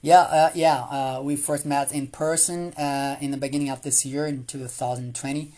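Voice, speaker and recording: A man's voice: medium pitch, flat delivery, moderate pace, dark tone. Hesitant Brazilian man learning English. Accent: Brazilian Portuguese. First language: Portuguese. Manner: hesitant, many fillers and repeated words. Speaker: guest. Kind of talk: casual chat in English with a friend.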